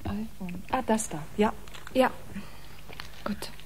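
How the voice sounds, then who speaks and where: A woman's voice: mid-range voice, slow, dark tone, a little hiss or hum, natural voice. An actress playing an emotional, wondering female biomusician on a Mars voyage, speaking expressively in a science-fiction radio drama. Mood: curious.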